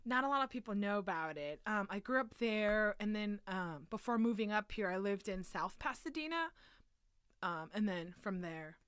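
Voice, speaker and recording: A woman in her thirties chatting casually as an interview guest, retelling things in an animated way with quoted asides. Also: mid-range voice, normal speed, clean tone, dry recording, clean studio sound. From South Korea and Los Angeles area. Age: 30s. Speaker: podcast guest, museum educator.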